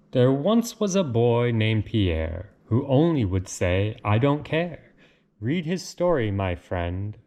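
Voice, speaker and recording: A man reads a children's storybook aloud in a deliberate, lilting way, with casual asides. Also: medium pitch, warm tone, highs cut off. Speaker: reader of picture books.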